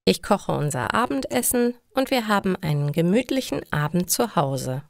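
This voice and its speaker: Woman reading as an affectionate, lively storybook wife. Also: on the low side, moderate pace, vocal fry, no room sound, studio quality. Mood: affectionate, coaxing.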